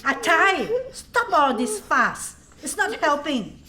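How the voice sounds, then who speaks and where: A woman's voice: high voice, very animated, slow pace, sharp tone, a little hiss or hum, exaggerated voice. A woman acting in a radio drama as a kind, practical neighbour who keeps the peace, with a Singaporean accent and a firm, soothing manner.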